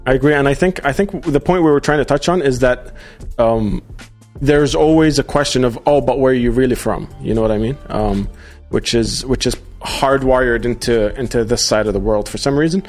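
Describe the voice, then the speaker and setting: A man hosting a live podcast, reading out viewer comments in a casual, halting way with many fillers. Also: mid-range voice, a little mellow, soft background music. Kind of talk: casual livestream chat.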